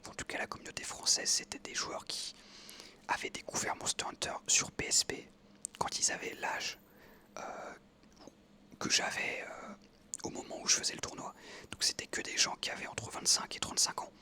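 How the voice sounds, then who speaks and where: Bright whispering young man. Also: normal speed. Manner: whispering throughout, hesitant with many fillers. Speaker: ASMR creator. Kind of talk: whispered ASMR storytelling. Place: outdoors on an evening walk.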